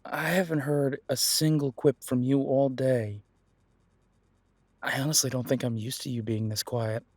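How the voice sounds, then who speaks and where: Man acting a gentle, protective werewolf detective, close and dry. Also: medium pitch, steady tone, unhurried, no room sound, close to an everyday voice. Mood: concerned. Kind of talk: intimate roleplay audio drama.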